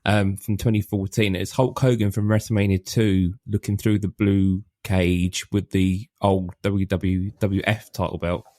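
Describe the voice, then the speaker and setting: Low-voiced man chatting on a podcast. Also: measured pace, few pauses, dry recording. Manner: relaxed, lots of ums, rambling lists. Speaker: podcast co-host. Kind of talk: casual chat.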